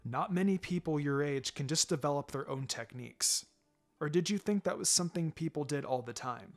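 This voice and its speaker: A man voicing a calm, firm ninja mentor in a fan fiction reading, encouraging and dryly teasing in a measured, instructive way. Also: fairly high pitch, soft background music. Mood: earnest reassurance. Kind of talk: fan fiction character dialogue.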